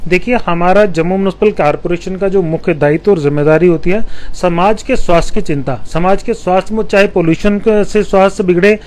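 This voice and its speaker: A male councillor, answering a reporter's questions in a measured, explanatory way with frequent restarts. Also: sits high, steady tone, darkish tone. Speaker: municipal councillor. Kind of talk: press interview answers.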